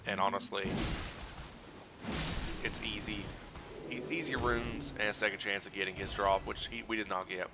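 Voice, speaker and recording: A man streaming a video game while sick, hoarse and scratchy, talking through his play in a relaxed, explanatory way and greeting his chat. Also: normal speed, long pauses. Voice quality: scratchy, low energy. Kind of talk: casual gameplay walkthrough commentary.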